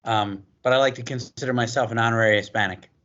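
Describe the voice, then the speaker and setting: An older man, a teacher, speaking in a relaxed, jokey way. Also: mid-range voice, fast talker. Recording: muffled lo-fi recording.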